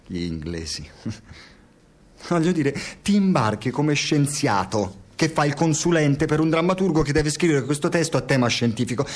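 An actor playing a frustrated, foul-mouthed scientist in an Italian radio drama, sarcastic and given to profane ranting. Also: male voice, a little high. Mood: exasperated. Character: frustrated, foul-mouthed male scientist turned reluctant playwright. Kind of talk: Italian radio theatre acting.

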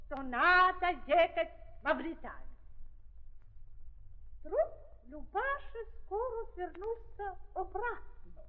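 An actress playing a fussy, proud brothel madam in a 1930s radio play, with a German accent and effusive broken Russian. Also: female voice, very high-pitched, big pitch swings, exaggerated voice. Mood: self-important. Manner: slow, effusive, ungrammatical speech. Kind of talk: 1930s theatrical radio drama acting. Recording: muffled 1930s archive recording.

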